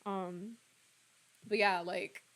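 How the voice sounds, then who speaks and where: A woman in her twenties, slightly hoarse, chatting casually and laughing often as she streams a game and answers her viewers. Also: mid-range voice, level tone, extremely slow, fairly bright tone, a little hiss or hum. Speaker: streamer playing a horror game. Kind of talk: casual live-stream chat, reading out viewer comments.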